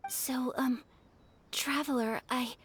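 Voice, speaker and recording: An actress playing a refined young noblewoman in a video game, earnest and gentle, speaking politely and with soft hesitation. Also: high-pitched, fairly even intonation, takes it slowly, slight background noise. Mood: shy, hesitant. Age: young adult. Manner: polite, formal, softly hesitant. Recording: music behind the voice.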